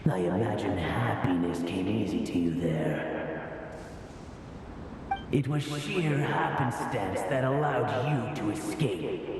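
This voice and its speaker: A menacing lord of fate in a fantasy game. He gloats very slowly and theatrically, his pitch swinging with mockery. The voice booms back from a vast hall with music underneath. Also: lively intonation. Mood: smug, menacing. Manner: theatrical, slow, mocking, savoring each phrase. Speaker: voice actor playing a villain. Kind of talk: theatrical video game voice acting. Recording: cavernous long reverb, distant, music behind.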